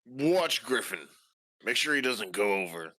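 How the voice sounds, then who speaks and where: A man chats and banters as a player in a tabletop role-playing game. His voice is bright and forceful, at a middling pitch. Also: sing-song, a little fast.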